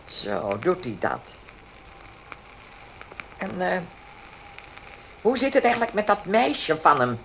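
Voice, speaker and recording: Sardonic old estate matriarch, radio play, unhurried and low, faint hiss. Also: female voice, wildly swooping pitch, vocal fry, a bit bright, full character voice, low-quality recording. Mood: dry, needling. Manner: slow, hesitant pauses and dry chuckles. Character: sardonic, domineering old mistress of a country estate. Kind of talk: Dutch radio play acting.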